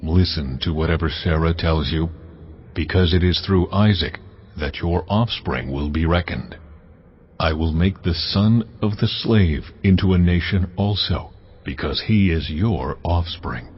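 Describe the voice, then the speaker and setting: Very deep, solemn voice of God. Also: male voice, slight background noise. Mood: reassuring. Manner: measured, authoritative. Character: God, solemn, commanding, fatherly divine voice. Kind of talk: dramatized audio Bible acting. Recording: dull audio with faint music.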